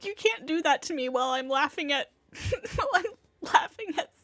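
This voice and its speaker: A woman's voice: sits high, animated, normal speed, a bit bright, dry recording. A woman livestreaming a game with casual reaction commentary.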